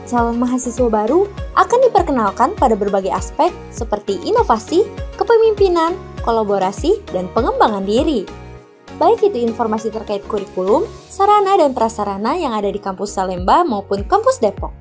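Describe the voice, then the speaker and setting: A woman reporter reading a scripted campus news report with lively emphasis. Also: on the high side, a little fast, faint music behind.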